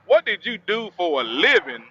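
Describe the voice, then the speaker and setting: A Southern American man chats as a folksy host, his voice high for a man and very lively in its swings. It comes through a slightly dull motorcycle headset, with faint vehicle noise behind him. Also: moderate pace. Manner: folksy, colloquial. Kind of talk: casual rolling interview. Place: riding a motorcycle outdoors. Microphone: motorcycle helmet headset.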